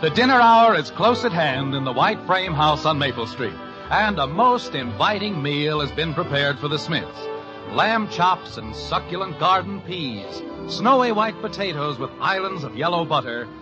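A man's voice: on the high side, sing-song, moderate pace. An American man narrating a scripted story in a genteel 1950s radio style. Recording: muffled old radio recording. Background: music.

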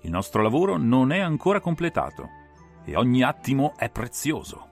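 A man voicing an astute, composed gentleman detective in a dramatized audiobook, speaking formally and decisively. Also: medium pitch, big pitch swings, moderate pace, faint music behind.

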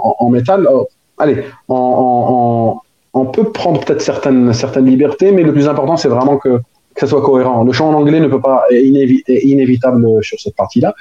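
A man's voice: mid-range voice, dark tone, lo-fi sound. A man from Algeria, a metal band singer, answering interview questions casually with many fillers and restarts.

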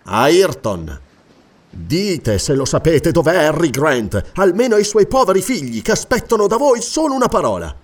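Guy reading as stern noble lord, formal n insistent, storybook, pitch moving up and down loads. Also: very animated, normal speed, recorded from a distance. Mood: urgent appeal. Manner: formal, insistent, pleading for answers. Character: stern, earnest gentleman lord questioning a prisoner. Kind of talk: dramatic audiobook character reading.

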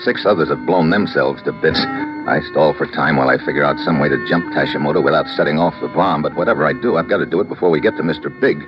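A very deep-voiced, hardboiled American agent, in a vintage 1950s radio drama. He is terse, blunt and impatient, with music in the recording. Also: quick pace, rough recording. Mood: grim narration. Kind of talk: 1950s radio drama acting with first-person narration.

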